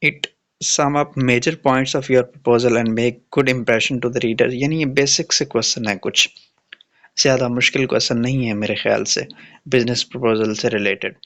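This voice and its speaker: A man's voice: medium pitch, steady tone, a little slow, fairly bright tone. A man with a Pakistani accent giving an informal, matter-of-fact exam-prep explainer, mixing in English phrases and reading questions aloud.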